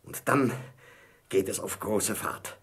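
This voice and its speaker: An actor playing an enthusiastic, self-assured baron with a husky voice and grand, emphatic manner in a German radio play. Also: male voice, on the low side, slow, fairly bright tone, heavily acted. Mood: excited, grand.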